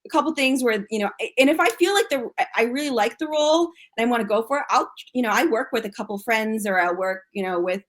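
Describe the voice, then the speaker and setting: An American actress talking casually as an interview guest, chatty and full of restarts and fillers. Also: female voice, fairly high pitch, fast talker, hardly any pauses. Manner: chatty, frequent fillers and restarts, laughs often.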